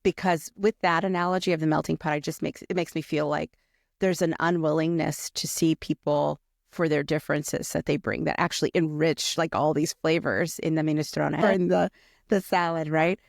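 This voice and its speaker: A woman's voice: deep voice, fairly even intonation, normal speed, vocal fry, no echo, studio quality. A woman hosting a podcast in a casual conversational interview style. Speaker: podcast host.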